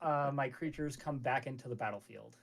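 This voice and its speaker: A man's voice: mid-range voice, brisk, creaky, crowd noise. A man chatting casually and jokingly as a player in an online card game. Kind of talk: casual, joking table chat.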